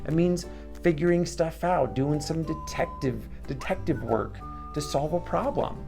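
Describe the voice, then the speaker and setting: A man's voice: a little high, measured pace, creaky, over music. A man hosting a children's storytime, talking casually and reflectively to young viewers in an encouraging, questioning way. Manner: encouraging, asks the listener questions.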